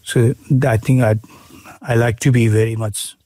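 A middle-aged man with a Bhutanese accent, speaking in an interview with many pauses, fillers and restarts. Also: medium pitch, flat delivery, mellow, dry recording. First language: Nepali. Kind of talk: casual interview.

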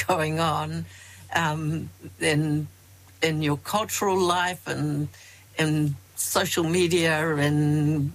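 A woman hosting an interview, asking slow, thoughtful questions with trailing phrasing. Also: sits low, rough recording. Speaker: interview host.

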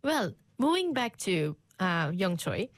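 Lively young woman interviewer. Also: on the low side, slow pace, no room sound, clean studio sound. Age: 20s. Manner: animated, exclaiming. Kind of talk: casual interview chat.

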